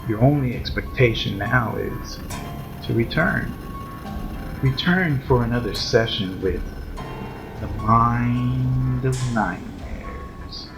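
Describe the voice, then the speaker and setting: A man hosting a horror story series, narrating a script in a theatrical, ominous, deliberate manner as a sinister keeper of shadows. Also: mid-range voice, creaky, over music, rough recording. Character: sinister keeper of shadows addressing his followers.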